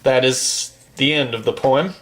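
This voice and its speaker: A man reciting a long poem from memory in a slow, declamatory manner, swelling on the refrains, then chatting casually about a game. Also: mid-range voice, fairly bright tone. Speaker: poetry reader and gamer.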